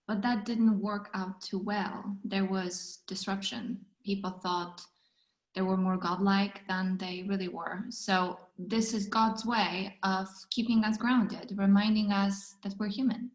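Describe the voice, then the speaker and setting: A woman's voice: mid-range voice, fairly even intonation, slow pace, lightly acted. A woman reading the part of a wry, frank woman in her early twenties at a script table read. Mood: explanatory. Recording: roomy, echoing sound.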